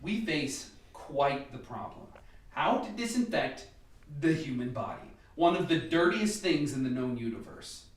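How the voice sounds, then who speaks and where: An actor playing an authoritative, methodical scientist leading a team in a radio play, lecturing with dry humour. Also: male voice, mid-range voice, very animated, measured pace, far from the mic. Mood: dry, instructive. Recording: echoey room, off mic, music behind.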